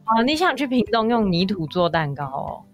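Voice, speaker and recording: A woman with a Taiwanese Mandarin accent speaks at an average female pitch as she co-hosts for children. Her wording is simple and friendly, made for little listeners. Soft music sits faintly behind her. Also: big pitch swings, moderate pace, highs cut off. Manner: child-directed, simple phrasing with soft particles. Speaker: children's story podcast co-host. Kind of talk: friendly hosting and chatting with a child.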